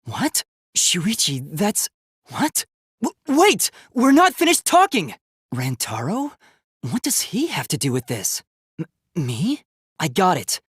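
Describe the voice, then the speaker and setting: A man voicing an earnest, determined and idealistic young male robot in a dramatic video game, with a youthful, light, slightly husky voice and a formal, emphatic delivery. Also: sits high, wildly swooping pitch, slow pace, long pauses, fairly bright tone, no echo, studio quality, heavily acted. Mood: confused, startled.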